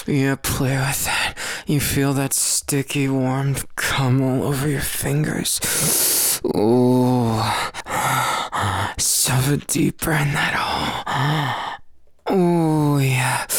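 A man's voice: mid-range voice, fairly bright tone, breathy edge, dry recording. A man speaking in an intimate erotic monologue, murmuring breathily and often slipping into whispers and moans.

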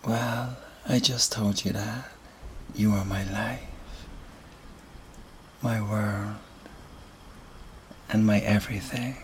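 A slightly hoarse man with an Irish accent, whispering softly with gentle chuckles in an ASMR boyfriend roleplay. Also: on the low side, steady tone, slow, pauses often, background noise.

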